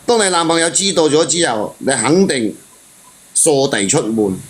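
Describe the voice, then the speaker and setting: A man's voice: a little high, big pitch swings, moderate pace, a crowd in the background. A man with a Hong Kong Cantonese accent bluntly questioning and judging a caller in casual debate. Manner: blunt, judgmental. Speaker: panel member questioning a caller.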